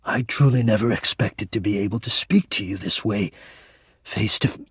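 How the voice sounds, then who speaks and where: An actor playing a shy, formal and lovestruck male ventilation system in a dating game, speaking earnestly and haltingly in a breathy voice. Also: fairly low pitch, no room sound, clean studio sound. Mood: tender, hesitant. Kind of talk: dating game character voice acting.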